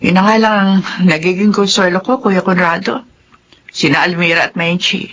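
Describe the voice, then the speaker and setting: A woman's voice: fairly low pitch, fairly even intonation, normal speed, fairly bright tone, slight background noise, close to an everyday voice, low-quality recording. An actress playing a strict, anguished middle-aged mother in a Filipino radio drama, emotional and scolding. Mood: worried, disappointed. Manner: emotional, scolding, wailing delivery.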